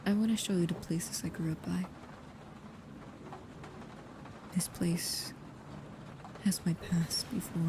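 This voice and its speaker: A woman playing a devoted, anxious, shyly affectionate vampire bodyguard in an intimate ASMR roleplay, speaking softly and haltingly, often near a whisper. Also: lowish voice. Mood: fond, teasing. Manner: hesitant, halting, soft-spoken, often dropping to a whisper. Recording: clearly audible background ambience noise.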